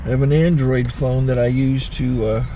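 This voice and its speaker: A man's voice: mid-range voice, rough recording. Guy talking thru his drone setup from his truck, laid back, kinda stumbly, faint traffic noise. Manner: relaxed, halting, self-correcting. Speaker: channel host, recording taper. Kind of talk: casual how-to demonstration. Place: inside a truck cab. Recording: faint car and outdoor noise.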